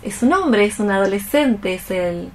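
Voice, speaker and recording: A woman with an Argentine accent chatting casually, in halting, hesitant phrases. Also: medium pitch, animated, darkish tone, slight background noise. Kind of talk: casual chat.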